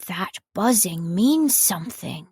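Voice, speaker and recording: Lady doing a slow dim sweet honey bear for a kids storybook, musing, dry sound. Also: fairly low pitch, lively intonation, a little mellow, slightly rough, no echo, full character voice. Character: gentle, honey-loving, dimwitted male toy bear. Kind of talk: children's storybook character voice.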